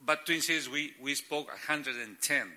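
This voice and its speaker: A middle-aged man whose first language is Spanish, speaking halting English as a guest and sharing stories of church planting. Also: a little high, bright, forceful tone. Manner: halting, searching for English words.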